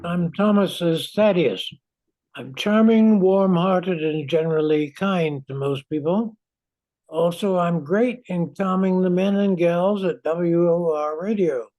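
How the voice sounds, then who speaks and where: Older man as charming, higher-voiced radio aide. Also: slow pace, warm tone, poor audio. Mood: pleased. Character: charming, warm-hearted, calm male radio station aide. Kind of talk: 1940s radio comedy acting.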